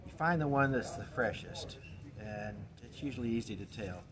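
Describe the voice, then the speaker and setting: A man in his sixties casually explaining a how-to method in a plain, halting way, searching for words. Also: mid-range voice, lively intonation, a crowd in the background. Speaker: guest explaining a home method. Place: indoors in a cluttered shop. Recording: noisy room with background ambience.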